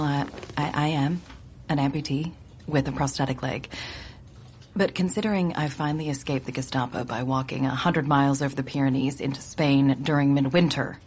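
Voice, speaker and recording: A steely, exacting woman war-veteran agent in a radio drama. Her voice is markedly low, crisp and dry, unflinching, with faint ambience behind. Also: a little mellow, slight background noise.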